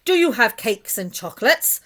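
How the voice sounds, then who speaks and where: A woman voicing a mean, greedy male rat highwayman, loud, commanding and boastful, in a children's storybook. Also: very animated, moderate pace. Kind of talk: children's storybook read aloud.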